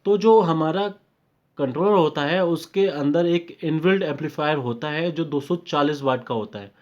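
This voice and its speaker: Indian male technical trainer, slightly high voice, informal explainer who repeats steps and drops in English terms; muffled lo-fi recording. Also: normal speed, darkish tone. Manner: explanatory, repetitive, often checking in with short confirmations. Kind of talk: informal step-by-step technical tutorial. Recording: dull lo-fi microphone sound.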